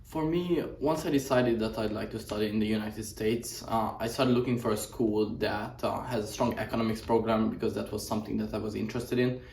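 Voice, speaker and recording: A man's voice: fairly low pitch, steady tone, brisk, creaky, few pauses, darkish tone, a little hiss or hum. A young man from Hungary, a boarding school student, giving earnest interview answers with many "uh" fillers. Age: young adult. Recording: echoey room, mic at a distance.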